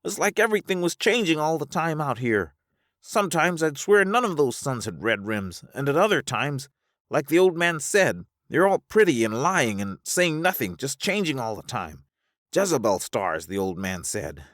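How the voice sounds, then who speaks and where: A man voicing a weary, philosophical spaceman in a science fiction audiobook, folksy and excitable as he reasons aloud. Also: fairly high pitch, normal speed, no room sound, studio quality, pitched above the performer's own voice. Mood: weary musing. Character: high-voiced drawling spaceman, weary, resigned, philosophical castaway.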